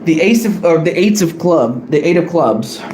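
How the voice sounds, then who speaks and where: A man's voice: a little high, expressive, moderate pace, noisy background. A man casually drawing cards on a live stream, hesitant and apologetic, often correcting himself. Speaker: streamer drawing cards for a fan wrestling tournament.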